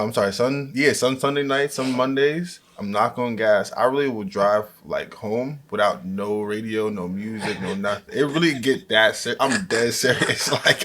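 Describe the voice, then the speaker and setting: A man co-hosting a casual fantasy football show, talking in animated slang and laughing at himself. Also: lowish voice. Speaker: podcast co-host.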